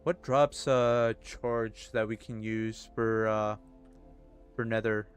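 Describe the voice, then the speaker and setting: A man's voice: fairly low pitch, flat delivery, takes it slowly, clear, resonant tone. A man in his thirties, streaming a game and chatting casually with his viewers, laughing and swearing easily. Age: 30s. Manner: laid-back, swears and laughs easily, talks to chat. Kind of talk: casual live-stream chat while gaming. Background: faint music.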